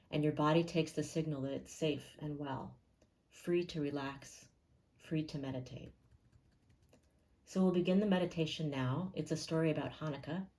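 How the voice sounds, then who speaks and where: A woman leading a guided meditation in a calm, gentle and unhurried way, leaving pauses between phrases. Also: deep voice, low-quality recording.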